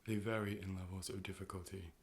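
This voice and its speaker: A man playing a calm, polite robotic AI optician in an ASMR role play, speaking in a measured, formal, deadpan way. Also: low voice. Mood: calm, clinical. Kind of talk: ASMR medical role play, personal attention.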